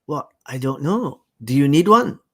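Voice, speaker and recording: A man's voice: on the high side, sing-song, a little slow, sharp tone, husky, voice pushed up, heavily acted. A man voicing a housekeeper woman in her thirties, prim and formal with a mannered put-on way of speaking.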